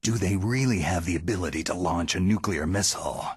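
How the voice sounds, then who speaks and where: An actor playing a gruff, sardonic veteran special forces soldier in a video game, terse and dry, with a gravelly husky voice. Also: male voice, low voice, a little fast, no room sound. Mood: grim, probing. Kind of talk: video game cutscene acting.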